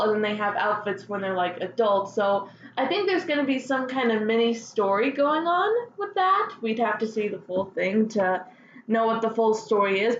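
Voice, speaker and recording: Slow-paced woman host in a roomy recording. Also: a little high, dull audio, slight background noise. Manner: gushing, enthusiastic fan. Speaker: host of a fan news show. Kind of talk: casual fan news commentary.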